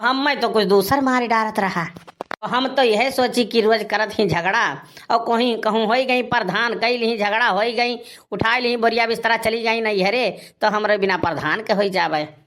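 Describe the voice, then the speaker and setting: A man's voice: high-pitched, measured pace, a bit bright. A man playing an aggrieved, boastful village husband in a cartoon comedy, grumbling and scolding with a rustic eastern Uttar Pradesh accent. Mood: rueful grumbling. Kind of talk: Awadhi cartoon comedy dialogue.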